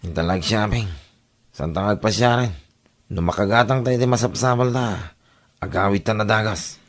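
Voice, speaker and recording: A man with a Filipino accent playing a calculating, smooth-talking boyfriend without a job in an audio drama. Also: lowish voice, normal speed, natural voice. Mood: evasive, coaxing. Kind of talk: Ilocano audio drama acting.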